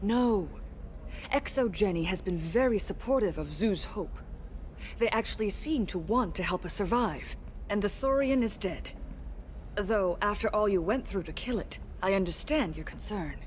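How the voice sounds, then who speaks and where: An actress playing an earnest, polite alien woman in a video game, speaking in a measured, diplomatic manner. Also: medium pitch, expressive, a little hiss or hum, close to an everyday voice. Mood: earnest, concerned. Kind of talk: video game dialogue acting.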